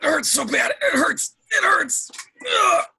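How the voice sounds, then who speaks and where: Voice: very high voice, very animated, unhurried, vocal fry, bright, forceful tone, shouting, exaggerated voice. An actor in an amateur script read playing a crewman in agony, groaning and strained. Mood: agony, panic. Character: agonized crewman convulsing in pain.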